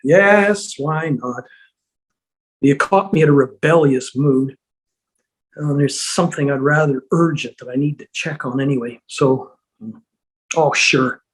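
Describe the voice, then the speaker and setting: An amateur actor playing a genial, self-satisfied asylum doctor in a table read, formal and measured. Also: mid-range voice, lightly acted, rough recording. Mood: hesitant, indulgent. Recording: video call with music underneath. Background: music.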